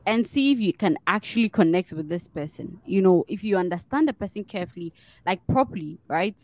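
A woman's voice: mid-range voice, lively intonation, a little fast, a little mellow. A woman chatting casually as a podcast guest, earnest and full of "like" and "you know.". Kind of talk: casual conversational podcast chat.

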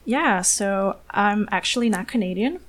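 A woman's voice: medium pitch, animated, unhurried. A young woman whose first language is Romanian, congested with a cold, chatting as a podcast guest over a remote call in careful, friendly English. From Eastern Europe. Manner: friendly, apologetic, careful non-native English. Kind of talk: casual chat.

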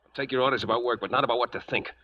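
An actor playing a principled young American cowhand who stands firm and blunt in a 1940s radio western. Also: male voice, mid-range voice, racing, natural voice. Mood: firm, defiant. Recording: dull, faintly noisy 1940s radio recording.

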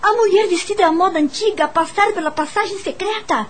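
A woman's voice: very high-pitched, a little fast, very noisy. A woman playing a curious, uneasy young teacher in a Brazilian radio drama, narrating her own story expressively. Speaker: lead actress and narrator.

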